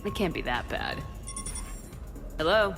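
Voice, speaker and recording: An actress playing a confident, easygoing military commander in a video game, casual and assured, in a reverberant space. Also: female voice, on the low side, very animated, slow, vocal fry, loud music behind the voice, full character voice. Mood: relaxed, teasing.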